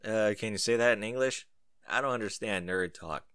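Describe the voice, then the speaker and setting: A man's voice: on the low side, normal speed, fairly bright tone, clean tone, no echo, studio quality, close to an everyday voice. A man voicing a brash young female pegasus pony, speaking bluntly and casually in a story reading. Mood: confused, dismissive.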